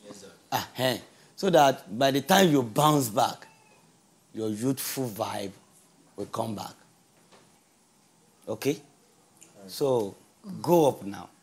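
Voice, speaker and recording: A middle-aged man playing a calm, authoritative father who speaks in a measured, reassuring way. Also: mid-range voice, slightly rough. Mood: firm. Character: calm authoritative father settling son's fate.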